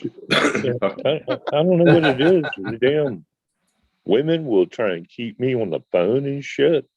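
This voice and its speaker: A man with a deep voice talking on his phone mic in casual group chat, drawling and mildly profane. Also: expressive, a little slow, a little mellow, slightly rough, dry recording, highs cut off.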